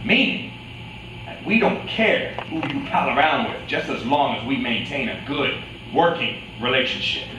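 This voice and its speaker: A man's voice: mid-range voice, lively intonation, normal speed, close to an everyday voice. A man in an amateur staged reading playing a scheming fight fixer, bossy and condescending, with a veiled menace. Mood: bossy, menacing. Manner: wheedling yet menacing, condescending. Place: echoey indoor room, staged reading. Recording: distant echoey room, faint noise.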